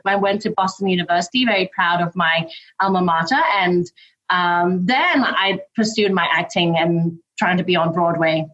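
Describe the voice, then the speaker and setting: A woman from Hong Kong with an English accent, telling her life story quickly and brightly as an interview guest. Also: mid-range voice, muffled recording. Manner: quick, lively storytelling with frequent laughs.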